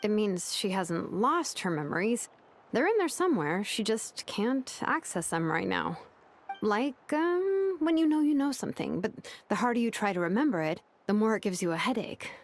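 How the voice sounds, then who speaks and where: A woman's voice: medium pitch, sing-song, moderate pace, slight background noise. A woman playing a curious, analytical young tinkerer in a video game, explaining technical things in a friendly way. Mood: analytical, explanatory. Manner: technical, explanatory, hesitant when simplifying.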